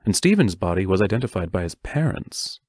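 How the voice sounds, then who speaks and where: Guy acting as a careful serious male teacher in an audio drama, lowish voice, formal and protective, dry sound. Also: very animated, a little mellow, dry recording. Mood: grave, cautious. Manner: measured, formal, careful phrasing. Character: measured, protective male schoolteacher, serious and cautious. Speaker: actor in an audio play.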